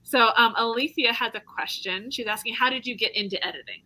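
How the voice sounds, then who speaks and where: A woman with a bright, forward voice hosting a relaxed live chat. She laughs often and keeps the talk moving, reading out questions from viewers. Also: on the high side, a little fast, rough recording.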